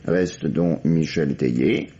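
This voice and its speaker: An actor playing a shrewd, world-weary police commissioner in a vintage French radio mystery, speaking formally and deliberately with irony and theatrical pauses. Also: male voice, very deep voice, warm tone. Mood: measured, ironic. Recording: dull, band-limited old radio recording.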